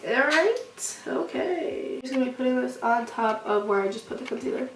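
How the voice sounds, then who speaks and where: A woman's voice: high voice, lively intonation, moderate pace, recorded from a distance. A young woman with a cold and a sniffly, stuffed-up sound talks through a makeup tutorial in a loose, chatty way full of fillers. Her voice comes from some way off the mic in a roomy space. A faint hiss sits behind it. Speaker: beauty vlogger.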